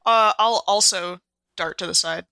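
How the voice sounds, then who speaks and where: A woman casually roleplays in a tabletop horror game. Her voice is medium in pitch and bright. It is recorded dry, with little room sound. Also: a little slow, clear, resonant tone, no echo.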